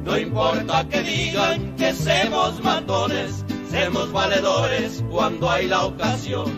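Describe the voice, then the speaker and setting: A woman's voice: medium pitch, steady tone, slow pace, over loud music. A woman singing a proud, full-throated ranchera song. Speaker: mariachi singer. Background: loud mariachi band.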